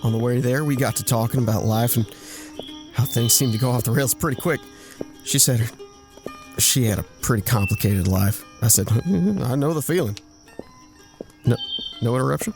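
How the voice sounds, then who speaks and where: A man's voice: mid-range voice, moderate pace, creaky, pauses often, music in the background, natural voice. An actor playing a bashful, bragging womanizer in an audio drama, telling racy tales in a folksy, stumbling way full of innuendo. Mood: sly, boastful. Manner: folksy, innuendo-laden, stumbling into self-corrections. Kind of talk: audio drama acting, anecdotal storytelling.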